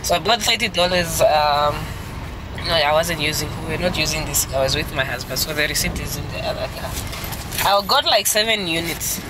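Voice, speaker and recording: A woman's voice: deep voice, fairly quick. A woman, chatting casually to her vlog camera from inside a car, thinking aloud as she talks. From Namibia. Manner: chatty, self-questioning, laughs easily. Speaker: vlogger and small restaurant owner. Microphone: phone at arm's length. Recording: loud traffic noise in a car.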